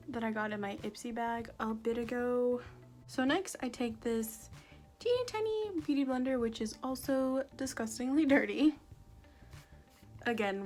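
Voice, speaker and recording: A young woman with a slightly high, raspy voice from a sore throat. She chats in a loose, rambling way and keeps making jokes at her own expense. Faint music plays under her. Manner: self-deprecating, jokey, rambling. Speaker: beauty vlogger. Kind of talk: casual chat while doing makeup.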